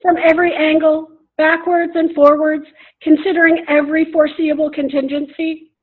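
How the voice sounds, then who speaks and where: An insistent questioner in an absurdist stage reading played by a woman. The voice is high, and it nags and presses with deliberate phrasing. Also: little pitch movement, narrow, tinny audio, natural voice. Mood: pressing. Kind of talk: virtual readers theater play reading.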